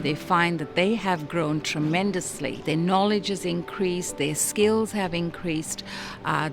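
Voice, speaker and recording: A woman calmly explaining an education program in a promotional video. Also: lowish voice, vocal fry. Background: background music.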